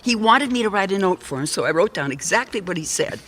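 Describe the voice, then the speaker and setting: A detective's female secretary with a bright, forward voice. She speaks with urgent, precise insistence in radio-mystery style. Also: medium pitch, animated, quick pace. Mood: alarmed, insistent.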